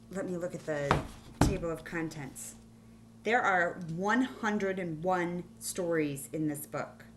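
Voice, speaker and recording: Low-voiced woman teacher, with background hum. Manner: instructive, thinks aloud with questions to listeners. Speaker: elementary school teacher. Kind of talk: read-aloud mini-lesson for children. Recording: clearly audible hum and background noise.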